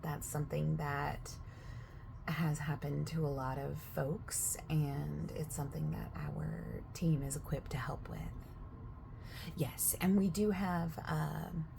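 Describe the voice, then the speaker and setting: A woman in a soft-spoken roleplay as a gym receptionist, overexplaining the options in a chatty way and sometimes dropping to a whisper. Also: low voice, sing-song, slow, creaky, background noise. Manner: chatty, overexplaining, with filler words; drifts between soft speech and whispers.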